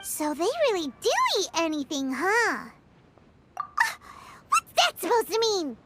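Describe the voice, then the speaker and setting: A woman voicing a tiny, naive, childlike female fairy companion with a squeaky voice in a cartoonish video game. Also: wildly swooping pitch, a little slow, background noise, full character voice. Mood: indignant. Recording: music playing behind the voice.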